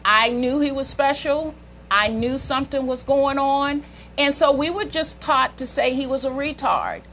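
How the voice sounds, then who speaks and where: A woman's voice: sits high, fairly even intonation, measured pace, a bit bright, slight background noise. A woman hosting a talk show, asking earnest questions and telling a story of her own as she leads up to them. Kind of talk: interview talk.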